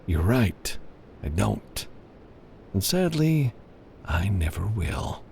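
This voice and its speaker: A man's voice: lowish voice, very animated, gravelly, darkish tone, a little hiss or hum, exaggerated voice. A man voicing a smug, treacherous male mercenary in an audiobook, with mock regret. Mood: smug, menacing. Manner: slow, mock-regretful drawn-out delivery. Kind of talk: audiobook character dialogue.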